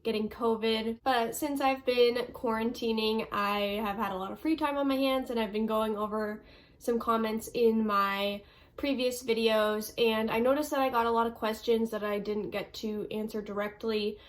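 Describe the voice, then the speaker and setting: A woman's voice: fairly high pitch, fairly even intonation, moderate pace. Stuffy-nosed young woman chatting casually. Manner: chatty, lots of ums and likes. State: stuffy nose. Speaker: vlogger. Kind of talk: casual Q&A vlog.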